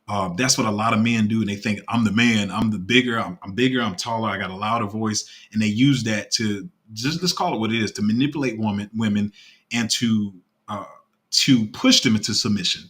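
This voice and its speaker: A man's voice: lowish voice, sharp tone, slightly rough. A young man hosting a casual livestream, talking in a heartfelt, rambling way. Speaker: crypto channel host.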